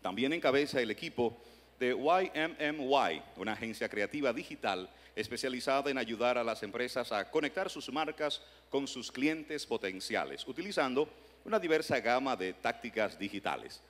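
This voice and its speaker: Formal emcee reading an introduction. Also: male voice, medium pitch, expressive, moderate pace, fairly bright tone. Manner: formal, read-aloud introduction. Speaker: event emcee. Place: large conference hall stage. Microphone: handheld mic. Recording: long hall reverb.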